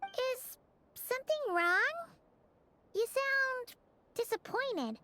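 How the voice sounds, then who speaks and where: A woman voicing a small, childlike, cheerful fairy companion in an anime game, with a squeaky voice. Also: lively intonation, drawn-out words, sharp tone, a little hiss or hum, heavily acted. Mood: concerned. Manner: speaks of self in third person. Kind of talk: anime game character acting.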